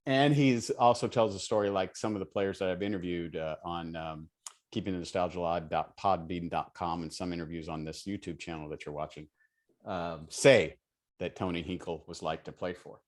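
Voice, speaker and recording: A man's voice: sits low, steady tone, moderate pace. A man from Indiana with an American accent, co-hosting a casual chat show in a jokey, rambling way. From Indiana, United States. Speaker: show co-host. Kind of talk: casual chat about favorite books.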